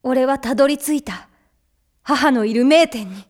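An earnest young anime hero, speaks in a high boyish voice. He is friendly and sincere, with the clear intent of a boy on a journey. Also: measured pace. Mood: narrating, resolute. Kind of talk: Japanese anime voice acting.

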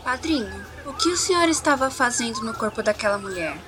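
Woman acting a high, lively, curious young boy. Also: fairly quick, noisy background, close to an everyday voice. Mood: curious, respectful. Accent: Brazilian. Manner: eager, direct questioning. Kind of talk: scripted audio story acting.

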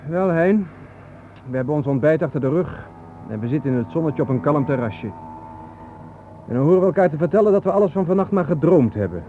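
A man's voice: a little high, lively intonation, fairly quick, close to an everyday voice. An actor playing a weary, troubled family man in a radio drama, plainspoken and uneasy. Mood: wry unease. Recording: narrow phone-like old radio recording, faint hiss.

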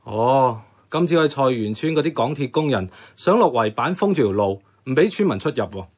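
A man's voice: mid-range voice, lively intonation, brisk, slightly rough. A man acting an idealistic, stubborn young activist with a Hong Kong Cantonese accent, earnest and persuasive. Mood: apologetic, explaining. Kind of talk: radio drama acting.

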